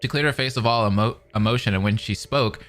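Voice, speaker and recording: Bright-voiced excitable male commentator. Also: mid-range voice, fast talker, soft background music. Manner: excitable, expressive. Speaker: reaction commentator. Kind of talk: reading narration aloud with casual reactions.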